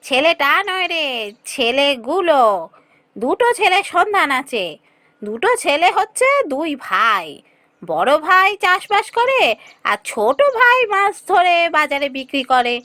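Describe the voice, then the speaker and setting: A woman's voice: high-pitched, sing-song, a little slow, pushed and bright, dry recording, exaggerated voice. A woman voicing a chatty, persuasive village matchmaker in a cartoon fairy tale, with a coaxing way of talking. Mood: brisk, curious.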